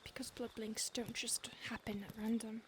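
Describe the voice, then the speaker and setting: A woman voicing a centuries-old female vampire stalker in an audio roleplay, speaking softly and often in a whisper, calm and menacing. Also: on the high side, moderate pace, close to an everyday voice. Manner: soft, intimate, often whispered. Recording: noisy background hiss.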